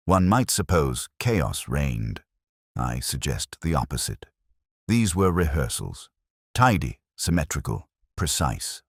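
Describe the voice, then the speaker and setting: A man voicing a mild, shrewd police inspector in a mystery story, with deliberate, theatrical phrasing. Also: very deep voice, big pitch swings, slightly rough, no echo, studio quality, exaggerated voice. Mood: measured, mild. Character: mild, shrewd male police inspector, calmly exposing culprits. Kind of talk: dramatised mystery story dialogue.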